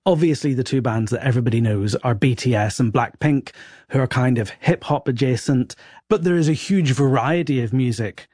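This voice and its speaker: A man of medium pitch explains music with fluent enthusiasm, his voice dry and close to the mic. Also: fairly quick, darkish tone, no echo. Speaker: music expert guest. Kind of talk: explanatory podcast chat.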